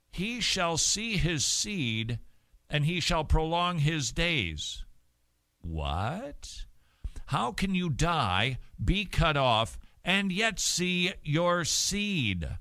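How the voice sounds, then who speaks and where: A middle-aged man hosts a studio talk show, his bright, forceful voice swinging widely in pitch as he jokes and presses his points. The sound is close and dry on a studio mic. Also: dry recording. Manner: animated, joking, emphatic. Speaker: radio and TV show host. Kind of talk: talk show commentary with Bible reading. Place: small dim studio set. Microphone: studio mic on boom arm.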